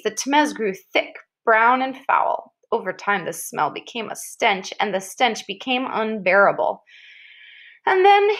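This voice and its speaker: A woman's voice: on the high side, fairly bright tone. A woman reading an informational article aloud expressively, like a teacher, leaning on and drawing out key descriptive words.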